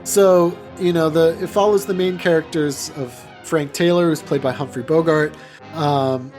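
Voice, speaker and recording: A man's voice: on the high side, fairly even intonation, moderate pace, a little mellow, soft background music. A man hosting a podcast, talking casually through films in a rambling way full of filler words. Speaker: podcast host. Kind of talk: casual film discussion.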